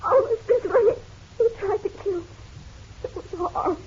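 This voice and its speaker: An actress playing a distraught, guilt-ridden wife in a melodramatic radio drama, halting and emotional. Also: female voice, extremely high pitch, darkish tone. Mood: tearful. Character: distraught, guilt-ridden married woman confessing to a detective. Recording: dull lo-fi radio drama, faint noise.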